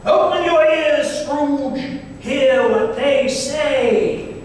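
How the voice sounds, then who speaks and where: Casting: hearty giant ghost, very high male voice, unhurried, radio play in a cavernous hall. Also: full character voice. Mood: genial, instructive. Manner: hearty, grand, chuckling. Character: jolly, booming giant male Ghost of Christmas Present. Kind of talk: old-time radio stage acting. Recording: distant, echoey hall, faint noise.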